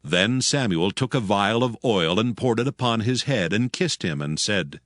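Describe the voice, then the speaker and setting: A man's voice: lowish voice, lively intonation, normal speed, a bit bright, dry recording, studio quality. A man narrating a dramatized Bible audiobook in a formal storytelling delivery. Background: background music.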